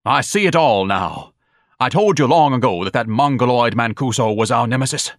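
A man's voice: mid-range voice, lively intonation, normal speed, fairly bright tone, dry recording, studio quality, close to an everyday voice. A man voicing a pompous, self-pitying grown son in an audiobook, declaiming in florid, haughty phrases. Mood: outraged, melodramatic. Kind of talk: audiobook character dialogue.

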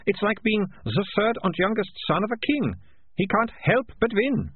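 A bossy storybook girl, played by a man, speaks in a high, lively voice. She is bold and brisk, sure she knows best, on a thin, band-limited recording. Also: slightly rough, no room sound, phone-quality sound, heavily acted. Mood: confident. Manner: brisk, know-it-all.

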